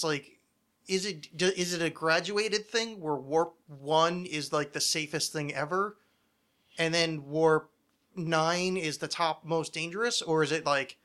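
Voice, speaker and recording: A man with a fairly high, very bright and forceful voice hosts a casual podcast. He talks like an opinionated nerd on a rant, swearing freely as he argues his points. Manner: ranting, profane, nerdy. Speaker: podcast host.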